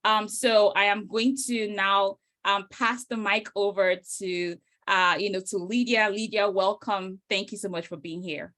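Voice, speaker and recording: Casting note: woman from Nigeria, middle-aged, webinar host, mid pitch, eager, chatty. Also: fairly even intonation, unhurried, few pauses. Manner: enthusiastic, warm, uses many "you know" fillers. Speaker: host, career coach. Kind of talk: webinar introduction. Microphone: video call.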